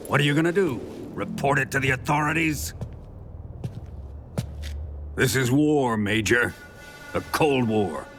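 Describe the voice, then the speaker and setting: A man plays a domineering, ruthless commander in a game cutscene. He speaks at a medium pitch with menacing authority and declamatory weight, over prominent music. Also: natural voice. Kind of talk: video game cutscene acting.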